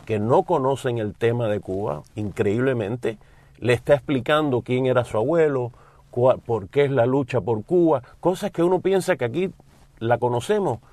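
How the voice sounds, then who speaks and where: A man's voice: medium pitch, normal speed, darkish tone, no room sound. A middle-aged man from the United States, a studio guest speaking earnestly and with feeling. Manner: earnest, heartfelt. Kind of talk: interview talk.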